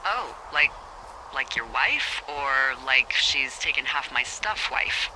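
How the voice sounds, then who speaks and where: A woman's voice: very deep voice, animated, takes it slowly, full character voice. Breezy american lady on a walkie talkie, wry and chatty, pretty noisy, video game dialogue. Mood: curious, wry. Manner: conversational, inquisitive, wry. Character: breezy, wry, friendly woman fire lookout supervisor. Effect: handheld radio. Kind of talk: naturalistic game dialogue over a handheld radio.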